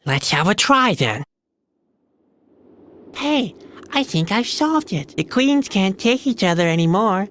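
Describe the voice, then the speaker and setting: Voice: mid-range voice, sing-song, slow pace, pauses often, fairly bright tone, slight background noise. An actor playing a questioning, sarcastic young adventurer in a video game, speaking in a wry, informal, nagging way. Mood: confident, eager. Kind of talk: video game character dialogue.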